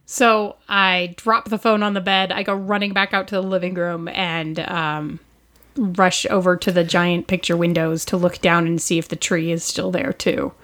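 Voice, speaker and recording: A woman in her forties, a podcast host playing a tabletop role-playing game, playful and quick to laugh. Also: lowish voice, little pitch movement, fairly bright tone.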